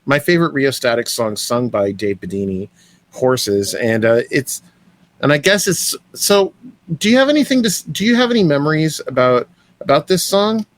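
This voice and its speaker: A man's voice: medium pitch, big pitch swings, normal speed, no echo. A man co-hosting a casual podcast, eagerly introducing a guest with frequent restarts, over a remote call. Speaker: podcast co-host. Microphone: remote call mic.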